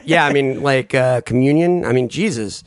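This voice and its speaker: A man's voice: medium pitch, moderate pace, no echo, studio quality. A man in his mid thirties co-hosting a casual comedy podcast, playfully quizzing the others. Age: 30s. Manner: playful, questioning, fond of doing silly voices. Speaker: podcast co-host.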